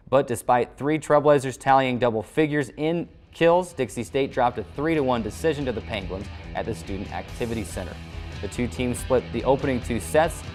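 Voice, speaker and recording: A man hosting a sports show, delivering recaps and interview questions as a play-by-play announcer. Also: mid-range voice.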